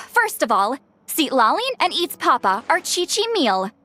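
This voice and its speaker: Squeaky, insistent little girl. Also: sing-song, measured pace, sharp tone, faint music behind, heavily acted. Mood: insistent, protective. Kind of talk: video game voice acting.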